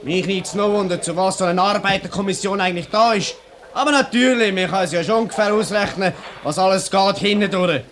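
A man's voice: sits high, a little fast, fairly bright tone. A man playing a cocky, quarrelsome factory worker with a Swiss German accent and a sneering manner in a 1950s radio play. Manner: sneering, provocative. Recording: noisy old radio recording, background ambience.

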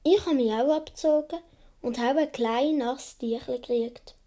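High, mellow Swiss child storyteller, voiced by a woman. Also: natural voice. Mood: wistful, fond. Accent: Swiss German. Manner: wistful, unhurried storytelling. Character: dreamy young Swiss boy recalling his earliest childhood. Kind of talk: radio play narration.

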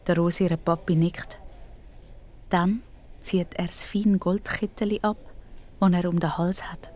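Swiss German female narrator for a children's story, lower register. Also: fairly even intonation, a little slow, warm tone, a little hiss or hum.

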